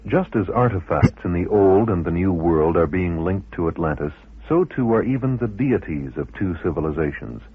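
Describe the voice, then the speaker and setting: A man's voice: low voice, expressive, moderate pace, hardly any pauses, slightly rough, dry recording. A man reading formal scripted documentary narration on a muffled, narrow-band old radio recording.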